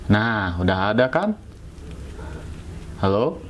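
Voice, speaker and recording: A man's voice: fairly low pitch, big pitch swings, slow, slight background noise. A man with an Indonesian accent from West Java, giving a casual, slangy, jokey hands-on tutorial as a motovlogger. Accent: Sundanese-region Indonesian. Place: indoors at home.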